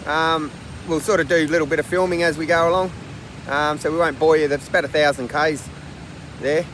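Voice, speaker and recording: A middle-aged Australian man with a croaky voice from a flu, chatting casually to camera in a laid-back, slangy way. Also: a little high, fairly even intonation, a little fast, fairly bright tone. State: getting over a flu. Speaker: outdoor adventure vlogger. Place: outdoors and in a vehicle on the road. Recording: noisy outdoor and vehicle background.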